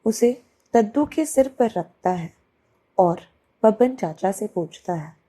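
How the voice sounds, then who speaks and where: A woman's voice: mid-range voice, expressive, slow, dark tone. A woman narrating a scripted children's story.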